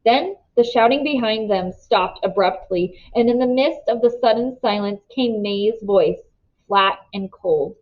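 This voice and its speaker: A woman reading a novel aloud as an expressive storybook narrator. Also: on the high side, a little slow, dull audio.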